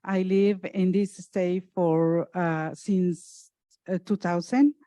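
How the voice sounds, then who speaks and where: A woman from Mexico City with a Mexican Spanish accent, a native Spanish speaker introducing herself haltingly as a community connector at a council meeting. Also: on the low side, steady tone, warm tone, no room sound, studio quality. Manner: halting, searching for words.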